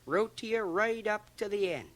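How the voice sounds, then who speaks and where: A man's voice: high voice, expressive, moderate pace, a bit bright. A man playing a folksy, gossipy small-town barber with a Canadian accent in a radio drama, chuckling and dropping into confidential asides. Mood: chatty, teasing. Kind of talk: radio drama acting, rambling monologue. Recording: 1980 radio drama, home cassette transfer.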